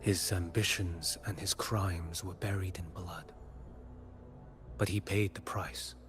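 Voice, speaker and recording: A man playing a grave blind envoy in a video game, speaking in a solemn, measured, deliberate way. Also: low-pitched, fairly even intonation. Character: grave blind male envoy tracking the dark tide. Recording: game music behind the voice.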